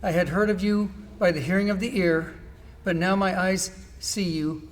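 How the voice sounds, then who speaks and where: A man's voice: high voice, fairly even intonation, moderate pace, natural voice. A man playing a humbled, repentant believer in an amateur scripture drama, speaking earnestly and reverently. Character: Job, humbled repentant man addressing God.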